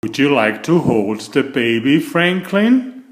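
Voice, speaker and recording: Male reader as father bear, storybook, calm, kindly, encouraging, unhurried, echoey room. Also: mid-range voice.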